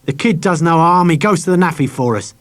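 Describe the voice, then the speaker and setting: A man's voice: on the high side, expressive, a little fast, pitched above the performer's own voice, close to an everyday voice. A man voicing a kindly, blunt soldier in an audiobook, speaking in an informal, slangy way. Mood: defiant. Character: kindly, blunt young male soldier, protective.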